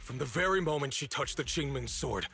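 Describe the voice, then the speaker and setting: A man's voice: a little high, expressive, a little fast, gravelly, pushed and bright, husky, full character voice. An actor playing a bitter, resolute young man, an orphaned former disciple, in a dramatic video game with sardonic, accusing delivery. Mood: scornful. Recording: studio voice over background music. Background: music.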